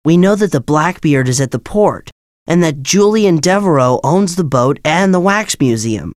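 A man voicing a decisive young amateur sleuth in an audiobook, speaking clearly and purposefully. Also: fairly high pitch, expressive, normal speed, darkish tone, dry recording, clean studio sound, lightly acted. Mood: thoughtful. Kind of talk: audiobook character dialogue.

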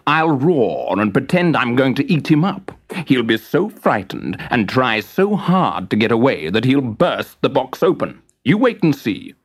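A storyteller doing a slow, growling toy lion. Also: medium pitch, very animated, breathy edge. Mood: confident. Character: fierce male toy lion, growling and menacing.

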